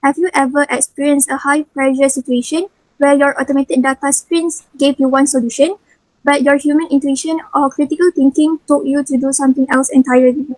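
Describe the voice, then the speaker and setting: High-voiced young Malaysian woman. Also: fairly even intonation, brisk, darkish tone, no room sound, poor audio. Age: young adult. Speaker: student interviewer. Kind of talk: formal prepared question.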